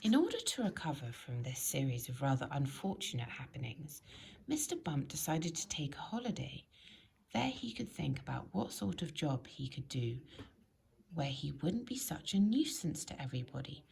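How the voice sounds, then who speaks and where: A woman narrates a children's storybook with a very animated, swinging pitch. Her voice sits a little low for a woman and has a creaky, gravelly edge. She tells it expressively, putting clear emphasis on key words. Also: normal speed. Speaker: storybook reader.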